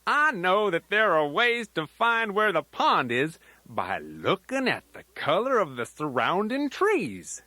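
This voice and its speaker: A man's voice: sits high, huge pitch swings, a little slow, few pauses, pushed and bright, no echo, heavily acted. A man voicing a folksy, playful old grandfather teddy bear who rambles in a children's storytelling tape. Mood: folksy, confiding. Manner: folksy, rambling, sing-song rhyming asides.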